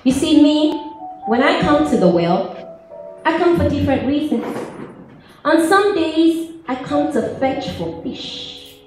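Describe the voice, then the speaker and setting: Woman acting a sassy wounded outcast woman, dramatic stage monologue, very animated pitch, far from the mic in an echoey hall. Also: takes it slowly, faint music behind. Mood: sassy, storytelling. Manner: theatrical, emphatic, swinging from hushed to loud. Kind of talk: dramatic spoken-word monologue performed live. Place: large echoey church hall, music behind.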